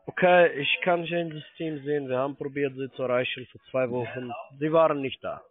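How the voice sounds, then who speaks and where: Fast insistent salesman on a tinny phone line. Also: mid-range voice, steady tone. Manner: insistent, impatient. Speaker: call centre salesman. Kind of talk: cold sales phone call.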